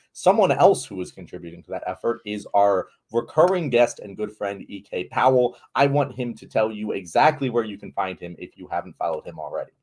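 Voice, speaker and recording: A man hosting a casual political podcast, talking in a loose, relaxed way with fillers and restarts. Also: on the low side. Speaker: podcast host.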